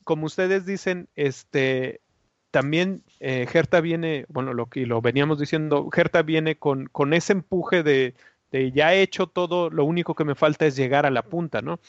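Measured man, dry lo-fi sound. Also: on the high side, no echo. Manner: measured, hesitant with fillers. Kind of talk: casual motorsport analysis.

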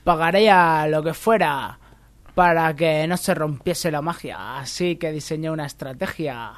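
An earnest Basque policeman from a comic radio news sketch, acted out by a man. He speaks in a hushed, solemn voice, slowly laying out his statement. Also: on the high side, lightly acted.

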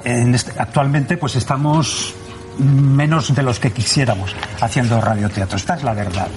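A man's voice: mid-range voice, moderate pace, over music. A man with an accent from Spain, an amateur radio actor answering interview questions in a conversational way.

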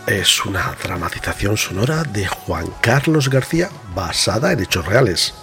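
A man's voice: lowish voice, sing-song, normal speed, over music. A man announcing the closing credits of an audio drama in a scripted narration.

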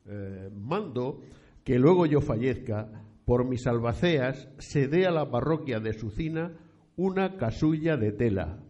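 A man playing an elderly, solemn 18th-century landowning priest in a period radio play, speaking formally and deliberately. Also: medium pitch, animated, mellow, lightly acted. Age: older. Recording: dull lo-fi sound.

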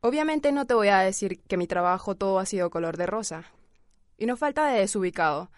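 A woman from Peru with a Peruvian accent, recounting a work experience in an interview and acting out the dialogue with lively storytelling. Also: medium pitch, fairly quick, dry recording. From Peru, Lima. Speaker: service worker telling of a customer. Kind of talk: interview testimony.

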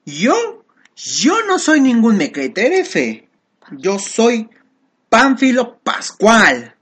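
Coarse, animated country bumpkin played by a man. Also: extremely high pitch, very slow, breathy edge, heavily acted. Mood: flustered, indignant. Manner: rustic, slangy, exclamatory. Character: coarse, harsh country bumpkin mule buyer, easily astonished. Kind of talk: comic radio play acting.

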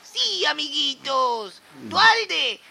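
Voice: high voice, very animated, very slow, gravelly, pushed and bright, heavily acted. A performer voicing a greedy, gleeful mutant creature politician who chants and calls out in a radio satire. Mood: scheming, rallying. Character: mutated gremlin-like politician creature, greedy, gleeful, rallying cronies.

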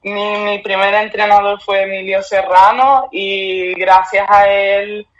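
A woman's voice: mid-range voice, little pitch movement, slow. A young woman, born in Cuba and raised in Spain, answering interview questions in a chatty, casual way and laughing often. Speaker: guest volleyball player. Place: remote call from abroad. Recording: dull lo-fi remote line.

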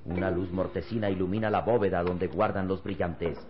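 A man's voice: low voice, fairly even intonation, brisk, slight background noise. A man narrating an old radio serial in a dramatic, emphatic, theatrical style. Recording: dull lo-fi old radio, loud music.

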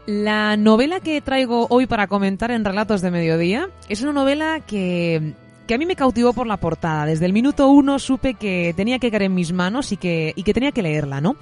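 A woman presenting a literary podcast in an expressive, effusive, semi-scripted way. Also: medium pitch, fairly quick, faint music behind.

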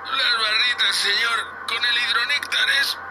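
A man's voice: extremely high pitch, fairly even intonation, a little slow, music in the background, poor audio. A man with a Castilian Spanish accent playing a nervous, deferential young spaceship crewman in a sci-fi audio drama. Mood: queasy, anxious. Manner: hesitant, anxious, deferential, full of questions.

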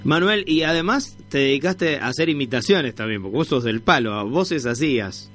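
A man's voice: medium pitch, expressive, normal speed, a bit bright, faint music behind. A man with an Argentine accent hosting a casual radio interview, speaking informally with short interjections. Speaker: radio host.

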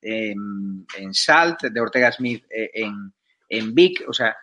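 A man's voice: on the low side, animated, slow, bright, forceful tone. A man with a Spanish accent from Spain, putting conversational questions as a political interviewer.

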